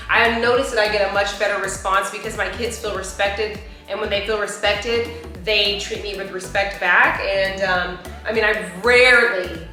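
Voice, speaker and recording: A middle-aged woman co-hosting a casual chat show, talking in a frank, slangy, expressive way. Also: medium pitch. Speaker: co-host. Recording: very echoey room, faint music.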